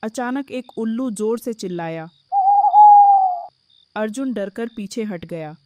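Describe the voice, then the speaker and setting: A woman's voice: medium pitch, animated, slow pace, heavy background noise. A woman giving scripted narration of a horror story as its narrator.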